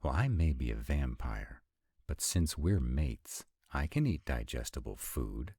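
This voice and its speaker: Man playing a very deep, husky, grumpy vampire. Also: big pitch swings, unhurried, dark tone, no room sound, studio quality, exaggerated voice. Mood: puzzled, proud. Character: grumpy male vampire mate, sardonic and proud. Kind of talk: scripted roleplay audio drama.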